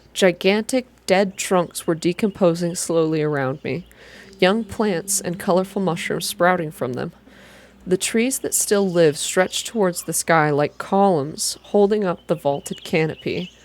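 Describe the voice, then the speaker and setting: A woman narrating first-person fiction aloud in a soft ASMR reading style, with deliberate, expressive storytelling. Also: lowish voice.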